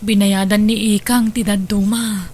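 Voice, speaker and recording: A woman playing a caring, steady wife and forgiving stepmother in an audio drama. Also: medium pitch, unhurried, slight background noise, close to an everyday voice. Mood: gentle, caring. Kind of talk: Ilocano audio drama acting.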